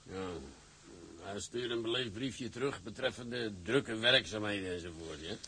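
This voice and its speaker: An actor playing a brisk, self-assured shipping boss with a husky voice in a period drama. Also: male voice, fairly low pitch, pushed and bright, slight background noise, rough recording. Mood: businesslike.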